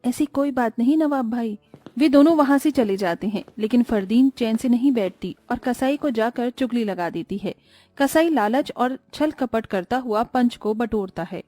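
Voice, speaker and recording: A woman narrating a scripted cartoon story in a calm storytelling manner. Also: a little high, moderate pace, dry recording, faint music behind.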